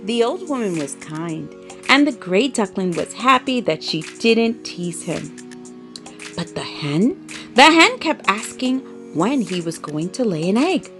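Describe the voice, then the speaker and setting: A woman tells a children's story in a dramatic, emphatic read-aloud style. Her pitch moves widely and her pace is unhurried. Soft music plays behind the narration. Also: sing-song.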